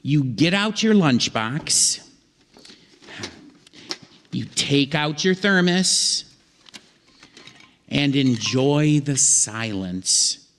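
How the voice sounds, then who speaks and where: A man narrating a scripted comic story on stage in an old-time radio show, deliberately and with dramatic pauses. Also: medium pitch, very animated, a bit bright. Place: live theatre stage. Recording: theatre hall reverb, faint noise.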